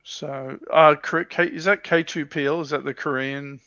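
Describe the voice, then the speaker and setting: A man's voice: fairly high pitch, fairly even intonation, moderate pace, pushed and bright, no room sound, highs cut off. A man co-hosting a casual livestream chat, thinking aloud in a hesitant way. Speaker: livestream co-host. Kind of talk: casual panel chat about tabletop wargaming.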